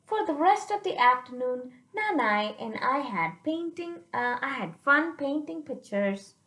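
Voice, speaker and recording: A woman reading a picture book aloud to children, pausing to explain it simply and check they follow, in a roomy recording. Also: high voice. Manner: animated, checks understanding with listeners.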